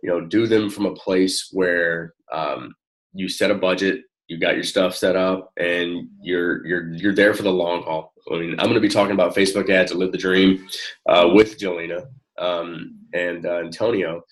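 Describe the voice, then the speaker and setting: A man speaking informally and encouragingly as a coach on a one-on-one call, often halting as he finds his words. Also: sits low, fairly even intonation, rough recording. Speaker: network marketing coach.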